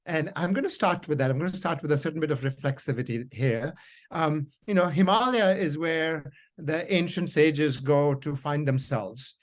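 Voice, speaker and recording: Middle-aged man from India, Hindi first language, fairly high voice, reflective storytelling academic. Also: moderate pace. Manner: reflective, storytelling, frequent fillers. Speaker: panel discussant. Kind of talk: academic panel remarks.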